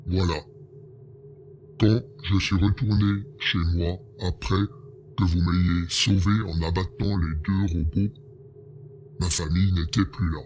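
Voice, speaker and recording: A man's voice: low voice, darkish tone, a little hiss or hum. A man voicing an anxious male bear-like alien advisor who talks in a hesitant, pleading way. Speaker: voice actor in an audio drama.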